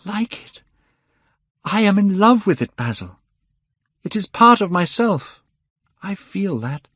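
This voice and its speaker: A man acts out an impassioned young man from a storybook, pitched high and swooping with feeling. He sounds aggrieved and fervent, every phrase given slow dramatic weight. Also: animated, dry recording, lo-fi sound. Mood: urgent, passionate.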